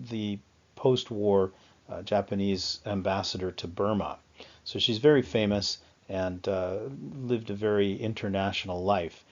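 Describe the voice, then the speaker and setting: A man talks through a history lecture in a fairly low voice, stopping often to fill pauses and correct himself. The recording is somewhat dull and lo-fi. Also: a little mellow. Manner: halting, frequent fillers and self-corrections. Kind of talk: unscripted lecture on music history. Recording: slightly dull lo-fi sound.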